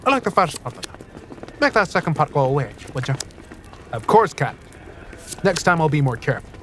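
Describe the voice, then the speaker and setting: Wry seasoned gambler, fantasy audio drama, instructive, animated pitch, faint ambient noise behind. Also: male voice, a little fast. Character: seasoned, wry gambler and wary mentor Gus.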